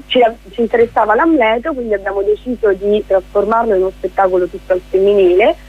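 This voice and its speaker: A woman's voice: medium pitch, quick pace, slightly rough, narrow, tinny audio. A woman speaking as a guest theatre director in a conversational radio interview, explaining things discursively.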